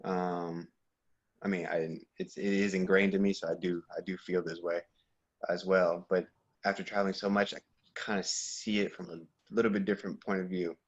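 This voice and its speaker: A young American man talking as a podcast guest in a relaxed, reflective way, with fillers and restarts. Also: low-pitched, moderate pace. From United States. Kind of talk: casual conversation. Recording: dull lo-fi sound.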